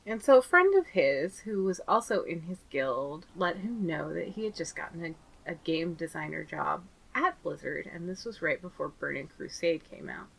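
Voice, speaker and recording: A woman's voice: fairly low pitch, animated, moderate pace, creaky, a little hiss or hum, low-quality recording. A woman co-hosting a podcast, chatting casually, laughing often and acting out little quotes as she recaps. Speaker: podcast co-host.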